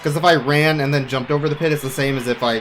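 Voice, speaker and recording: A man's voice: a little high, fairly even intonation, fast. A man giving casual, explanatory live commentary as he plays through a game, with a wry streak. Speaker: speedrunner. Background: game music.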